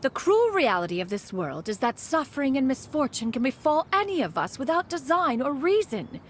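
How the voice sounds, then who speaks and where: A woman's voice: high-pitched, very animated, slight background noise. A woman voicing a proud, formal female crane spirit in a game, speaking in a stately, archaic way and calling herself "one". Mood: solemn. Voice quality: stately, measured. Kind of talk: game voice acting. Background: game music.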